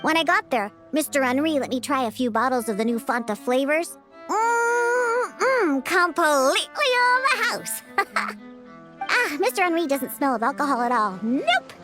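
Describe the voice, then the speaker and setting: A woman's voice: high voice, measured pace, full character voice. A woman voicing an earnest, easily flustered, chatty young girl in a video game, with music behind her. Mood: chatty, upbeat. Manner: excitable, chatty, indignant. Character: earnest, flustered, high-voiced girl who mixes drinks. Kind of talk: animated video game voice acting.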